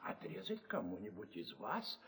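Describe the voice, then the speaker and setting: A man playing a fussy, pompous male court doctor in an audio fairy tale, with slow, drawn-out speech. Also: on the low side, wildly swooping pitch, creaky, fairly bright tone, big-room sound, exaggerated voice.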